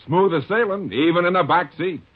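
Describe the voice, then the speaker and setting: A man's voice: sits high, fairly quick. A man reading an upbeat 1950s radio car commercial. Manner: breezy, upbeat. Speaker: commercial pitchman. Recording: phone-like 1950s radio broadcast.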